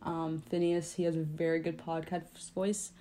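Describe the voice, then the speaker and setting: A woman's voice: low voice, level tone, moderate pace, dark tone, a little hiss or hum. A nineteen-year-old woman co-hosting a podcast, chatting casually with her boyfriend and laughing often. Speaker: podcast co-host. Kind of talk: casual chat.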